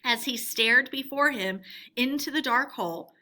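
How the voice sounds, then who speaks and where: A woman storyteller reading a storybook aloud in an expressive narrating style. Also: high voice, a little slow.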